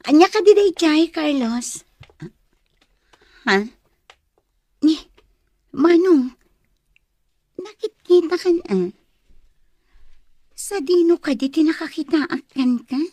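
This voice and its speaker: A woman with an Ilocano accent playing a sweet, gentle young schoolteacher in a radio drama. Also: very high-pitched, animated, slow, long pauses, no room sound, heavily acted. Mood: curious.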